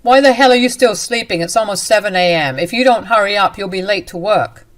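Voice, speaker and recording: A woman's voice: on the low side, animated, normal speed, fairly bright tone. A woman voicing an angry, bullying husband, speaking in a harsh, demanding, raised voice.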